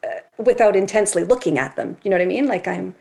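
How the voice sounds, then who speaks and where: A woman's voice: medium pitch, fast, creaky. Chatty woman describing her work. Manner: lively, chatty, animated when agreeing. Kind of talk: casual conversation.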